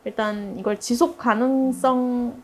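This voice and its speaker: A woman with a fairly high voice leads a relaxed group conversation. She talks in a chatty, storytelling way, as if among colleagues she knows well. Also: measured pace. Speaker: interviewer and project member leading the discussion. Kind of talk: casual group discussion.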